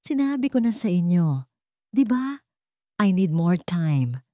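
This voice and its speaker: A woman voicing a smooth-talking, boastful rich man who hides his desperation from his fiancée. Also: lowish voice, big pitch swings, takes it slowly, warm tone, dry recording, clean studio sound. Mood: desperate, irritated.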